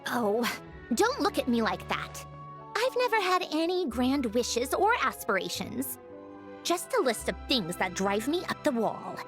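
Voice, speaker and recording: A young female mechanical genius from a video game, proud and exacting. Her high voice moves with animated pitch as she lectures precisely and a little impatiently, with music underneath. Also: unhurried. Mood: prickly, explaining. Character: haughty, matter-of-fact young female mechanical puppet genius. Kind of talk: scripted video game voice acting.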